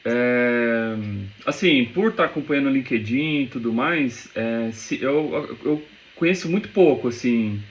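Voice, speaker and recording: Male business owner interviewee, measured and polite, video call, light background noise. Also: medium pitch. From Goiânia, Brazil. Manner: polite, measured, repeats words.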